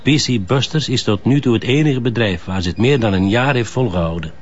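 Medium-pitched police colleague played by a man. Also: fairly quick, background noise, close to an everyday voice. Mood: matter-of-fact. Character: male police colleague reporting findings evenly. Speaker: actor in a radio drama. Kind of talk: naturalistic radio drama dialogue. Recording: dull, band-limited radio drama recording.

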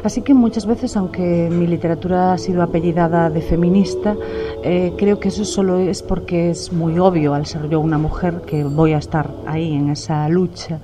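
Writer, middle-aged woman, low voice, calm and reflective, music playing softly underneath. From Galicia. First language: Spanish. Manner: measured, reflective, many "eh" pauses. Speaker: novelist, interviewee. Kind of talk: thoughtful interview answers.

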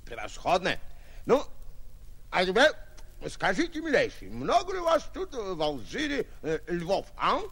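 A boastful, excitable hunter-hero from a vintage radio comedy. The voice is high and creaky, swinging hugely in pitch, with slow, stammering, theatrical delivery. The old recording carries faint noise. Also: male voice, full character voice. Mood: self-important. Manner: theatrical, stammering, grandiose declaiming. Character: pompous, excitable French hunter-adventurer, cheerful braggart. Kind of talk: 1940s Soviet radio play acting.

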